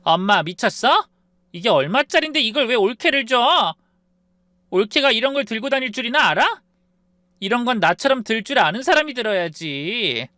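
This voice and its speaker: A man's voice: sits high, very animated, normal speed, bright, forceful tone, no echo, voice pushed up, heavily acted. A man voicing a spoiled, snobbish adult daughter in a storybook reading, with whiny, accusing outbursts. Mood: contemptuous.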